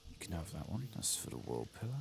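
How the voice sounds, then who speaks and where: A man softly speaking and whispering ASMR game commentary, muttering to himself as he goes. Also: low voice, big pitch swings, fast talker, gravelly, noisy background. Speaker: host of an ASMR game playthrough.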